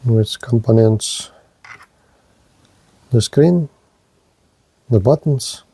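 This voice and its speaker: A man giving an unscripted hands-on product demonstration as a reviewer, speaking haltingly in short, broken-up phrases. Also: mid-range voice, warm tone, breathy edge, no room sound. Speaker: gadget reviewer presenting a radio.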